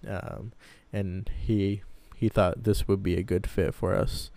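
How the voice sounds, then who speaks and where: A man's voice: low-pitched, flat delivery, takes it slowly, dark tone. A man in his twenties from Iraq, a podcast co-host, casually telling his own story in a loose, hedging way. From Baghdad, Iraq. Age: 20s.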